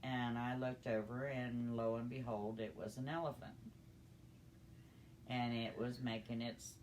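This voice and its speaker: An older woman telling a personal story in a conversational, halting, unhurried way. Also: very deep voice, little pitch movement, a little mellow, slight background noise. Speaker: storyteller grandmother.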